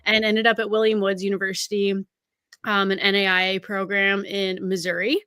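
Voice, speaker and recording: A woman's voice: mid-range voice, monotone, moderate pace, bright, forceful tone, smooth, dry recording, studio quality. A woman in her thirties from Canada, a college recruiting scout chatting as an interview guest in an upbeat way with lots of fillers. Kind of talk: casual interview conversation.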